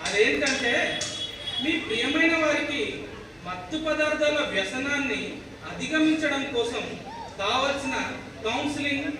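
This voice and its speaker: A man's voice: very high voice, unhurried, pauses often. A man acting as a chatty TV awareness show presenter in a stage play, emphatic and teasing, putting rhetorical questions to viewers. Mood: bright, emphatic. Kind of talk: theatrical scripted presentation in a one-act play. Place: echoey hall, voice picked up from afar. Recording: very echoey, distant mic, noisy hall.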